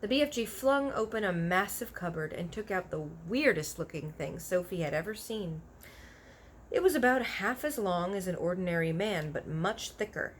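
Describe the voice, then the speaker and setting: A woman narrating a children's book aloud in an expressive storytelling style. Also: fairly low pitch, normal speed, a little hiss or hum. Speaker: story reader.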